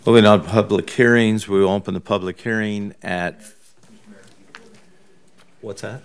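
A man's voice: on the low side, steady tone, moderate pace, clean tone. A man chairing a formal council meeting from the dais, over a noisy chamber microphone.

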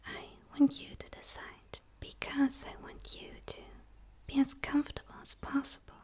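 Woman acting as a curious female alien, high-pitched whisper, soft, pleading, ASMR roleplay. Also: completely flat delivery, lightly acted. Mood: earnest, gentle. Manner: slow, soft, pleading and tentative. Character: gentle, curious female dark alien eager for human friendship.

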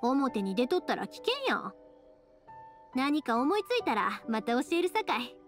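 A woman's voice: high-pitched, big pitch swings, unhurried. A woman playing a spirited, caring young fireworks maker in a game, speaking reassuringly with a Kansai accent. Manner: reassuring, friendly, earnest. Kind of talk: video game voice acting. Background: background music.

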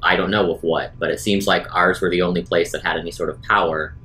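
A man's voice: low voice, steady tone, quick pace, bright, forceful tone. A man playing a tabletop game, chatting casually and repeating words as he reasons aloud.

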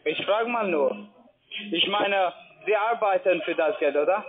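High-voiced insistent male phone caller. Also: a little slow. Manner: insistent, questioning, non-native phrasing. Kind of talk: argumentative sales phone call. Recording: tinny phone line with noisy office crowd. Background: loud office chatter and music.

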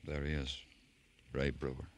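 An actor playing a world-weary, relentless police detective in a radio noir drama, clipped and deadpan. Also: male voice, very deep voice, unhurried, a little hiss or hum. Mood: accusing, grim. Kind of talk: old-time radio noir narration and dialogue.

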